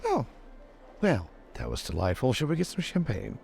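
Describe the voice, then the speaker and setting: A man playing an awkward, eager-to-help gentleman lord in improvised tabletop roleplay, courteous, stammering and rambling. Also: medium pitch, huge pitch swings, normal speed, vocal fry, a little hiss or hum. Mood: wry, courteous.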